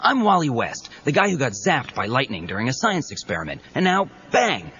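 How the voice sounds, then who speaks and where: A man's voice: medium pitch, huge pitch swings, fairly quick, fairly bright tone. A man playing a breezy, cocky young superhero in an audio drama, casual and chatty. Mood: breezy, confiding. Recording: dull cassette with faint background noise.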